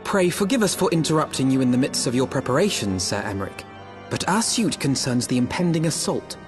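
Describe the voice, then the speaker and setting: An actor playing an earnest, courteous young diplomat in a fantasy game, with polite, eloquent, archaic speech. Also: male voice, medium pitch, expressive, fairly quick, over music, close to an everyday voice. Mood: formal, pleading. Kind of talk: scripted fantasy game dialogue, archaic formal English.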